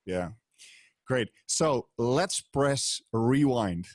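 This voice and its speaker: A middle-aged man hosting a podcast over a video call, asking measured questions with hesitations. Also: mid-range voice, expressive, no echo, clean studio sound. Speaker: podcast host. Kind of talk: casual interview. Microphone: headset, mic on stand.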